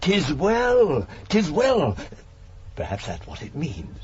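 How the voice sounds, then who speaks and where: A man's voice: on the high side, huge pitch swings, slow, darkish tone, slightly rough, highs cut off, exaggerated voice. An actor playing a timid, fussy British man in a radio drama, hesitant, stammering and anxiously polite. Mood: fascinated, dreamy. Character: timid, nervous, fussy man with a guilty past.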